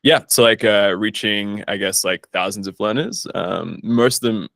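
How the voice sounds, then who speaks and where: A man's voice: on the low side, moderate pace, creaky, fairly bright tone, dry recording. A man chatting casually as an interview guest, informal and self-deprecating, recorded in a studio. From Australia. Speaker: guest, startup founder and investor.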